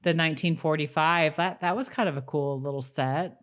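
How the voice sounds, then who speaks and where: A woman with a notably low voice co-hosts a rewatch podcast. Her talk is casual and conversational, with an informal feel. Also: normal speed. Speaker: podcast co-host. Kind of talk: casual chat about a TV rewatch.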